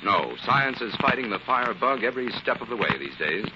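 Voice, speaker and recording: An actor playing a calm, analytical scientist crime-fighter, explaining with assurance in a 1940s radio drama. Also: male voice, mid-range voice, brisk, lightly acted. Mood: assured. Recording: muffled, very noisy 1940s radio recording.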